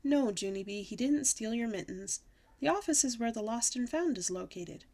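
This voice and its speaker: A woman voicing a calm, kindly, patient schoolteacher in a children's audiobook. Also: on the high side, moderate pace. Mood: reassuring. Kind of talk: children's audiobook character reading.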